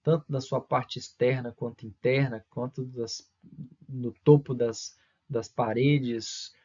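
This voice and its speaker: Guy from lecturing, keeps restarting and going "né?", kinda husky, muffled lo-fi sound. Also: mid-range voice, steady tone, slow pace, darkish tone. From Brazil. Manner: hesitant, many fillers and restarts, frequent tag questions. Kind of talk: recorded university lecture.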